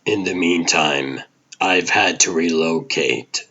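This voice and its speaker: A grim, world-weary vigilante detective, acted by a man, narrates a noir comic drama in a very low voice. His delivery is slow and terse, with a forceful, husky edge. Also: highs cut off, full character voice. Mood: weary, brooding. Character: brooding, world-weary older male vigilante detective, presumed dead. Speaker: narrator and voice actor of a comic dub. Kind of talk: dramatic first-person noir scripted narration.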